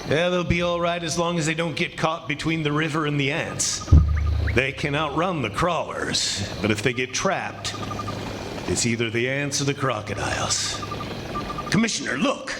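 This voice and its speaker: A man playing a smug, confident and domineering plantation owner in a 1940s-style radio drama, with a brisk, commanding manner. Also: on the high side, expressive, lightly acted. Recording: noisy stage recording with clicks.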